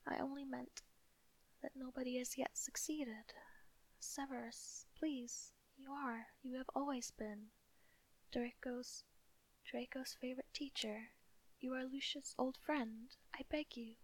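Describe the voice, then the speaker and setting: A woman's voice: sits high, fairly even intonation, slow, heavily acted, low-quality recording. A woman reading in a whisper as a desperate, tearful mother pleading for her son.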